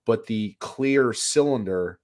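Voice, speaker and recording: A man with a raspy voice from a sinus infection, chatting as a podcast co-host in a rambling way with many restarts. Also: lowish voice, takes it slowly. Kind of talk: casual podcast chat.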